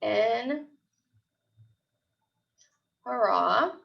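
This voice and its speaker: A woman's voice: on the high side, expressive, drawn-out words, vocal fry. A woman teacher reading a story aloud and questioning pupils in an instructional way, often repeating her phrases. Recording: dull lo-fi audio.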